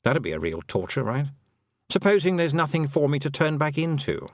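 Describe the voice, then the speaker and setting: A man's voice: on the high side, sing-song, fairly quick, no room sound, studio quality, pitched above the performer's own voice. A man voicing a rambling, fretful talking toad.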